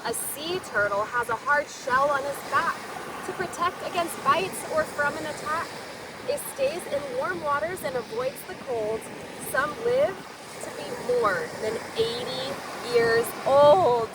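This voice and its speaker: High-pitched woman reading to children, very animated. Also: measured pace. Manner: expressive, explains words simply to young listeners. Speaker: children's story time host. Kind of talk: read-aloud of a children's picture book with asides. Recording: distant mic, noisy surf-like outdoor ambience.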